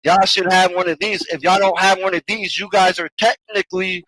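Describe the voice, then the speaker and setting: A man on a livestream, halting and stumbling, arguing defensively. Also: sits high, steady tone, bright, forceful tone, no room sound, rough recording. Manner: hesitant, stumbles, then defensive. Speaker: livestream participant. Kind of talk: defensive argument.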